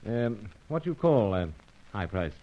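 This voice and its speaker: An actor playing a dry, understated secret agent in an old-time radio spy serial, with clipped, hesitant, wry delivery. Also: male voice, on the low side, sing-song, measured pace. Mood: smooth, hesitant. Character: dry, understated British secret agent narrating his own adventure. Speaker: lead actor and first-person narrator. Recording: dull, lo-fi radio serial recording.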